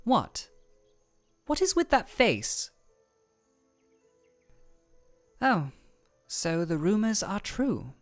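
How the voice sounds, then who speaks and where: A woman playing an aloof, regal ice elemental royal in a scripted fantasy audio roleplay, speaking in a formal, measured, deadpan way. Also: mid-range voice, soft background music. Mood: wry, composed.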